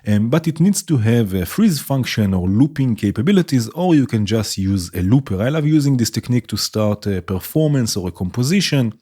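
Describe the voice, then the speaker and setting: A man's voice: mid-range voice, brisk, few pauses, breathy edge. A man hosting a modular synth tutorial, explaining each step casually and often checking in with "right?". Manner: relaxed, explaining while patching, frequent "right?" checks. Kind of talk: casual demo narration. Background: synth music playing.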